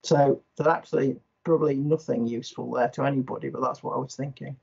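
Male researcher, creaky rough-edged voice, hesitant and reflective, seminar discussion over video call. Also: medium pitch, fairly even intonation, quick pace, a little mellow. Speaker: energy researcher, seminar participant. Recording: dull video call audio.

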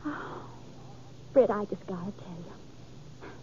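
A woman's voice: medium pitch, slow, warm tone, muffled recording, lightly acted. Adoring frontier sweetheart played by a woman, thin radio. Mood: adoring, nervous. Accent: American. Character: sweet, adoring, eager small-town young woman. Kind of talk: 1950s radio western acting. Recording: thin, faintly noisy old radio recording.

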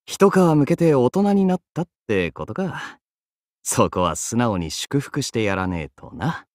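Young game archer: roguish, wry, teasing slacker, casual drawl, animated intonation, dry studio sound. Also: male voice, mid-range voice, unhurried, dry recording. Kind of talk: Japanese mobile game character voice lines.

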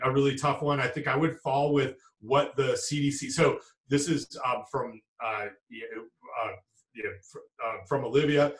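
A man in his forties answering audience questions as a food safety expert on a video call from a home office, halting and restarting often. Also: medium pitch. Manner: halting, many restarts and fillers. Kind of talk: live webinar Q&A. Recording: roomy video call audio, distant mic.

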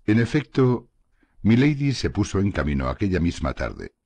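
A man with a husky voice reading a classic novel aloud as an audiobook narrator, in a measured, steady manner. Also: deep voice, no echo. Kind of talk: scripted literary narration.

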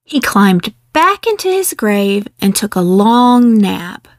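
A woman reading a picture book aloud in a measured, expressive storytelling delivery. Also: medium pitch, no room sound, studio quality. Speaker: children's book reader. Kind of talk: scripted storybook read-aloud.

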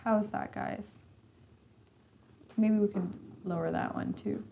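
A woman of twenty-five, a little raspy today, hosting a live stream and chatting as she reads comments, teasing and laughing often. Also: medium pitch, fairly even intonation, slow pace, highs cut off, slight background noise. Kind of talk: casual live stream chat reading comments. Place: indoor room with leather couch.

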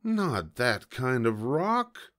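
A man's voice: fairly high pitch, wildly swooping pitch, a little slow, pitched above the performer's own voice, exaggerated voice. A man voicing an earnest young boy, an exasperated big brother in a storybook. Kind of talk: storybook character voice.

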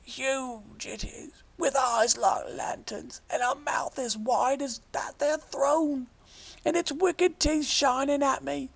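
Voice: mid-range voice, vocal fry, pitched above the performer's own voice. A reader voicing a tearful, simple old male shepherd who speaks in a rustic country dialect.

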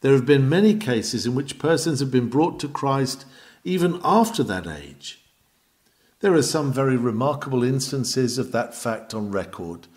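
A man's voice: medium pitch, expressive, normal speed, a little mellow. A man reading an old sermon aloud in an emphatic, exhorting manner, with old-fashioned oratory. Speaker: reader of a historical sermon.

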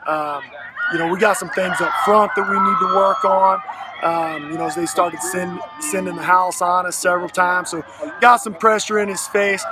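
An American man in his thirties, a high school football coach, giving a postgame interview in a halting, folksy way with repeated words. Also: fairly even intonation. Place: outdoor football field at night. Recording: noisy outdoor field, vehicle-like ambience.

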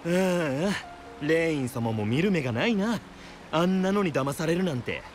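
A man's voice: fairly high pitch, lively intonation, unhurried. A man voicing a blunt, condescending and suspicious male character in a Japanese video game. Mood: scornful. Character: scornful, suspicious man gossiping about a rival. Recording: loud music behind the voice.

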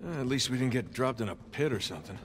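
A man's voice: medium pitch, lively intonation, fast, a little hiss or hum, natural voice. An American actor playing a casual, wry, jaded treasure hunter adventurer in a video game, joking as he goes. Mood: wry, curious. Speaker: video game lead character. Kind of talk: cinematic video game dialogue. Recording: game music behind the voice.

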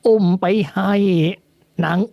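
A man's voice: fairly high pitch, expressive, measured pace, creaky, a little mellow, no echo, voice pushed up. A male narrator voicing a plump, kindly old woman servant who speaks in a trembling, quavering voice.

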